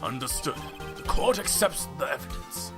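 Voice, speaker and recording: A man voicing an older male courtroom judge who talks in a stern, lively way, in a fan dub. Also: mid-range voice, moderate pace, over music, close to an everyday voice.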